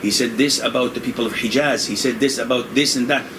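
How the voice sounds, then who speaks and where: An older man, a religious scholar, answers questions in a loose, conversational way, wandering between points and breaking into laughter. His voice sits at an ordinary pitch. A steady hiss of room noise and air conditioning sits behind him. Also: fast. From Indonesia. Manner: digressive, joking, laughs often. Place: room in Saudi Arabia.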